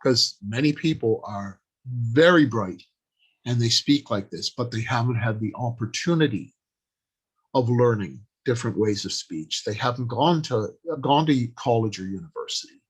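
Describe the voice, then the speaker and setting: A man giving an informal instructional talk on writing, speaking deliberately in short, halting phrases with pauses. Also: mid-range voice, slightly rough. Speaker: writing workshop presenter.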